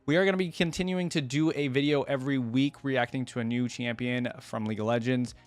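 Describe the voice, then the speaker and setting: A man's voice: medium pitch, fairly even intonation, fast talker. A man hosting a casual reaction video, thinking aloud in an informal, rambling way. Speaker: YouTube reaction host.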